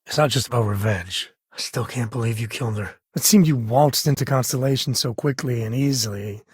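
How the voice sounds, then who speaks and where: A rugged spacefaring ranger and protective father for a video game. His voice is very husky and gravel-edged, sitting at an average male pitch. He talks in a folksy, wry, conversational way, easygoing but loyal, in a close, dry recording. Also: fairly quick, no echo. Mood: grave, earnest. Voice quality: husky, raspy. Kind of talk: scripted game dialogue, conversational acting.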